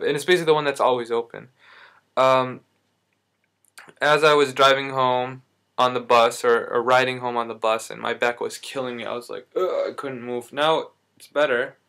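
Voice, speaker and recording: A man's voice: medium pitch, fairly bright tone. Rambling man vlogging through back pain. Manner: rambling, self-correcting, lots of fillers. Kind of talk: casual video diary.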